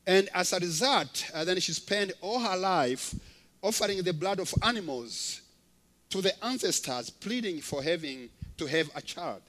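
A man in his fifties from Rwanda, a guest preacher giving a testimony sermon in a halting way, with frequent fillers and gentle humor. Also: sits high, lively intonation.

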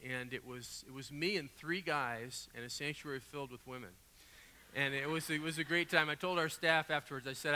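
A man preaching as a guest pastor, his voice raspy from a cold, telling stories in an animated, conversational way. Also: mid-range voice. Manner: animated, conversational storytelling with asides. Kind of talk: sermon. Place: church sanctuary. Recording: long hall reverb.

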